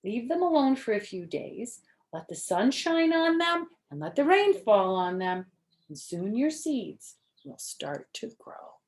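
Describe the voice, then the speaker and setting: A woman's voice: fairly high pitch, sing-song, a little slow, voice pushed up. Woman as a kind, patient storybook frog. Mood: patient, reassuring. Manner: gentle, reassuring, explanatory.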